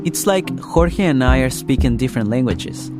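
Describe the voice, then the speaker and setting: A man's voice: mid-range voice, expressive, moderate pace. A man giving scripted first-person narration as the reporter of a podcast story. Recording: music bed behind the voice. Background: music.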